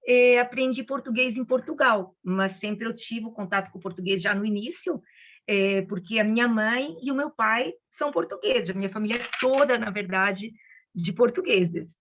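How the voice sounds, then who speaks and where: A woman's voice: a little high, normal speed, fairly bright tone. A woman whose first language is Spanish, speaking Portuguese in chatty opening remarks at an online conference, with many restarts. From Venezuela. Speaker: invited lecturer. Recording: dull lo-fi audio.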